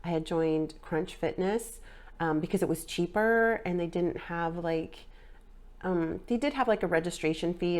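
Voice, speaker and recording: A woman in her forties talks through a personal fitness update in a loose, rambling way, full of ums and likes. Her voice sits a little low and has a gravelly fry. It carries a slight nasal tone left from a sinus infection. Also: a little mellow. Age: 40s. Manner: rambling, filler-heavy, frequent ums and likes. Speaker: fitness vlogger. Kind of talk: casual personal update chat.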